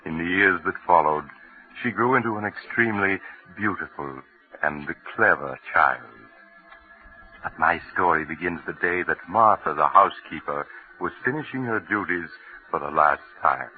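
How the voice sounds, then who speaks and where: An actor playing a genial but menacing mystery narrator in theatrical, ominous 1940s radio style. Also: male voice, low-pitched, normal speed, lightly acted. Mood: grave, reflective. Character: eerie, genial male mystery-story narrator, menacing storyteller. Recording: muffled vintage radio recording with music.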